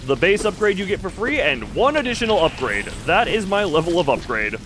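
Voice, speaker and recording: High-pitched, animated male game streamer. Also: brisk, slight background noise. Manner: rambling, stammering, self-deprecating. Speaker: livestreamer playing a video game. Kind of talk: casual live gameplay commentary with chat replies.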